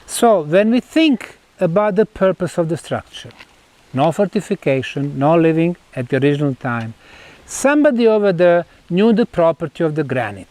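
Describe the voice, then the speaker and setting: High-pitched Bosnian-accented man presenting. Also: sing-song, normal speed, a little mellow. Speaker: researcher presenting measurements on site. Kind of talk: explanatory on-site presentation.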